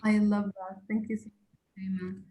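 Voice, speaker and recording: A woman moderating a panel, brisk and appreciative. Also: mid-range voice, level tone, warm tone.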